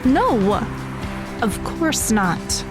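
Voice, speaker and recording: A woman's voice: fairly high pitch, very animated, drawn-out words, full character voice. An actress playing a guarded, serious, bitter teenage girl in an audio drama. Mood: irritated. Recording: music behind the voice.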